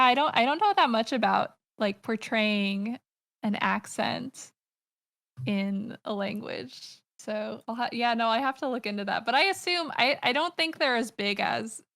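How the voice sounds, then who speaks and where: Bright, gravelly-voiced American woman. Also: a little high. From United States. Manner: enthusiastic, rambling, lots of fillers and restarts. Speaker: podcast guest, comic artist and streamer. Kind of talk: casual podcast chat.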